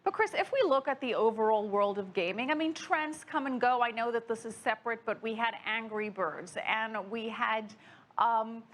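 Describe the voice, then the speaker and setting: Lady news anchor with a fairly high voice, interviewing a guest on air. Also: normal speed.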